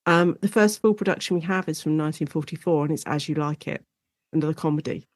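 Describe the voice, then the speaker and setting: Animated British woman, informal and very dry-sounding. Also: sits low, fast, no echo. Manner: animated, informal storytelling with acted-out quotes. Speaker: guest expert, lecturer and author on Shakespeare in radio. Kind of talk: conversational interview, enthusiastic historical explanation.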